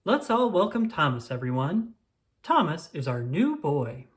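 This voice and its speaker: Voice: mid-range voice, sing-song, unhurried. A storybook reader voicing a woman schoolteacher who addresses and welcomes her class. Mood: bright welcome.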